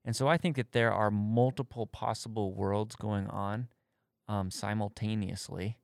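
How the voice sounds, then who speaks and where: A man's voice: fairly low pitch, steady tone, a little slow, vocal fry, no room sound, studio quality. A man in his thirties on a podcast, talking candidly and thoughtfully, searching for his words as he explains his faith. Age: 30s. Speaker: podcast co-host answering faith questions. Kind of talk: casual conversation.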